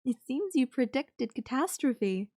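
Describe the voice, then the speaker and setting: A woman voicing a whimsical, flippant goddess in an ASMR roleplay, musing airily with long dramatic pauses. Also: high-pitched, very animated, mellow, voice pushed up, full character voice. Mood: playful, friendly. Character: whimsical, airy, flippant yandere goddess watching Earth die. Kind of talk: ASMR audio roleplay acting.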